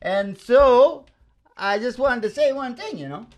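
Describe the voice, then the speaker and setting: A woman's voice: fairly high pitch, expressive, measured pace, a little mellow. A woman musician chatting casually and informally between songs at a rehearsal, rambling with giggly asides. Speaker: musician and show performer. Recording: dull lo-fi rehearsal recording with guitar.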